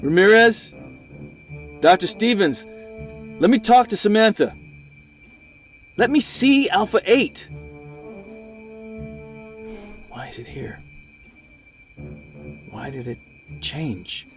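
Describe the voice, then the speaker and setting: A man's voice: sits high, sing-song, takes it slowly, long pauses, faint music behind, exaggerated voice. A man acting an obsessed researcher held captive, agitated and musing aloud to himself in an audio drama monologue. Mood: desperate. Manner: agitated, musing aloud, swings from murmurs to shouts.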